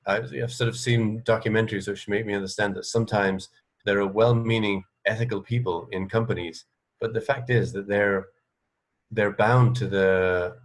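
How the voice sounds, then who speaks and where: A man's voice: lowish voice, fairly even intonation, moderate pace. A man interviewing in a conversational way, thinking aloud hesitantly and often rephrasing himself. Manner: hesitant, self-correcting, thoughtful. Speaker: radio interviewer.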